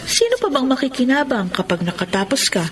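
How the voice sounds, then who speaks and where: Casting: devoted young Filipina sweetheart, radio drama, very animated pitch, noisy ambience. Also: female voice, fairly quick. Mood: affectionate, reassuring. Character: devoted, playful young woman, live-in girlfriend.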